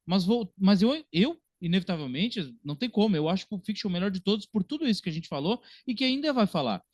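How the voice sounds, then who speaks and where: Fast-talking, high-pitched young man. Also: very animated, darkish tone, no echo, lo-fi sound. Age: early 30s. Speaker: podcast co-host and film critic. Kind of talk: casual film discussion.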